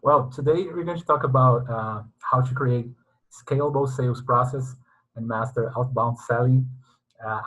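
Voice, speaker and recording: A husky-voiced man hosts an interview, reading out long introductions and questions in a formal way. The recording sounds dull and slightly muffled. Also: medium pitch, a bit bright. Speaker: interviewer, sales consultant. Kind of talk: interview hosting.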